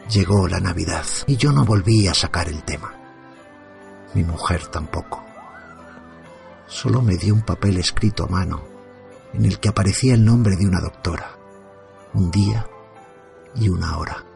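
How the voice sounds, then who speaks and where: A tormented father tells a first-person horror story, played by a man. His voice is low and very creaky, his delivery somber, measured and dramatic. Faint music runs underneath. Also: a little mellow. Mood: subdued, gloomy.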